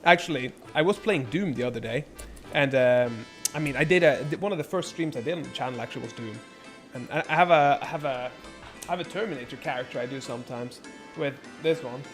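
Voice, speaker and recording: A man's voice: mid-range voice, brisk, a bit bright, soft background music. A young man born in Norway, chatting casually and rambling on his live stream, swearing freely. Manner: rambling, laid-back, lots of filler and swearing.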